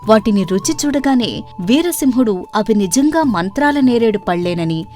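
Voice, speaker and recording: Female narrator for children's tales: expressive, fairly high voice, light music behind. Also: normal speed. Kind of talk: scripted children's story narration.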